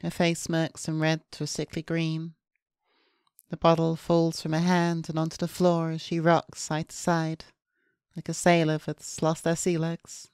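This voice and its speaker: A woman's voice: sits low, completely flat delivery, measured pace, darkish tone, smooth, no room sound, clean studio sound. A woman reading a visual novel's first-person narration aloud as a VTuber. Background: music.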